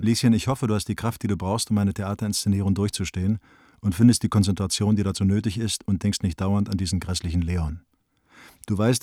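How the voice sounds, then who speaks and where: Man acting a brisk, sardonic bohemian intellectual. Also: fairly low pitch, dry recording, clean studio sound, lightly acted. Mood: scornful, insistent. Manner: wry, emphatic, self-important. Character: opinionated young male bohemian intellectual, sardonic, affectionate. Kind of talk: radio play letter reading.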